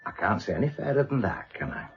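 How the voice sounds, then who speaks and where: An actor playing a wry, probing middle-aged British police inspector in a radio drama, unhurried and needling. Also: male voice, fairly low pitch, very animated. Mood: coolly needling. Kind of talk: naturalistic radio drama acting. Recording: dull lo-fi radio recording, music behind.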